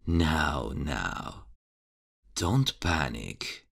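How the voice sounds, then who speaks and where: A man playing a menacing, mocking male serial killer in an ASMR horror roleplay, taunting and chuckling in a hushed voice. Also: rumbling low, animated, drawn-out words, vocal fry, slightly rough, full character voice.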